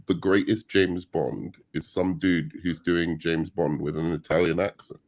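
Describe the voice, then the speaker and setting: Deep gravelly man, rambling chat. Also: flat delivery, unhurried, a little mellow. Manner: rambling, repeats himself. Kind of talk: casual podcast chat.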